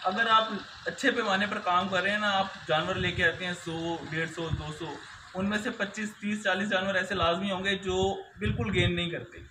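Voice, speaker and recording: A young man answers interview questions as a farmer. He explains with repeated filler phrases. The recording is echoey and clearly noisy, made in an open shed. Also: high voice, fairly even intonation, normal speed. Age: young adult. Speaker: cattle farmer being interviewed. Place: open-sided cattle shed on a farm.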